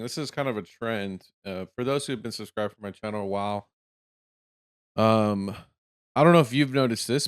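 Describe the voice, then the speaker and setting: Rambling low-voiced male host. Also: clear, resonant tone, no echo, clean studio sound. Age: 20s. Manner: rambling, thinks aloud with fillers. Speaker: channel host reacting to clips. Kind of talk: casual commentary.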